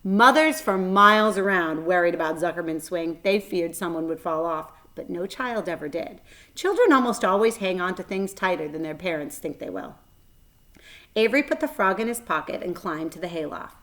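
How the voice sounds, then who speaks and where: A woman reading a children's book aloud as a storyteller, expressive, with dramatic emphasis and shifting volume. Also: on the low side, moderate pace.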